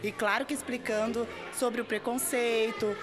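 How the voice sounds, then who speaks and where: A woman teacher explaining her class's work in an interview, pausing as she talks. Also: on the high side, steady tone, over music, crowd noise. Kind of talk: interview answer.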